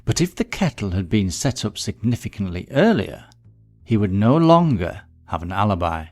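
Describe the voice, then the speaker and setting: A man's voice: on the low side, big pitch swings, normal speed, darkish tone, soft background music. A man reading a scripted mystery story as its narrator.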